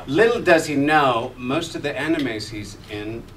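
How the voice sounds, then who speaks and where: Playful man, loud hall noise. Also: medium pitch, lively intonation, a little slow, far from the mic, over a noisy crowd. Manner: playful, grateful, goofy. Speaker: voice actor panelist. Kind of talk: convention panel talk. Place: large convention hall. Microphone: table mic, far camera.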